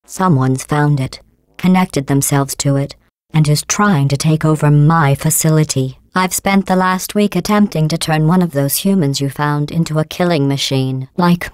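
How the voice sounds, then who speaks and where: An actress voicing a cold, sarcastic female AI who runs a test facility, speaking in a deadpan, mock-polite, passive-aggressive tone as video game dialogue. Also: low-pitched, normal speed, smooth, no room sound, lightly acted.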